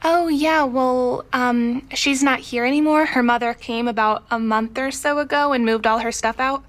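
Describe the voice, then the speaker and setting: An actress playing a friendly, sympathetic acquaintance in naturalistic audio drama dialogue, chatty in manner. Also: female voice, high voice, fairly even intonation, normal speed, fairly bright tone. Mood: friendly, surprised.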